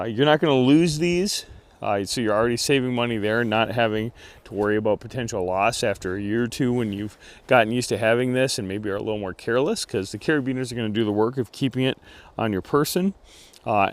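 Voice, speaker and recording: Brief: male product reviewer, casual and unscripted, thinking aloud with halting phrasing, dry recording free of room echo. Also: on the low side. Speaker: gear reviewer.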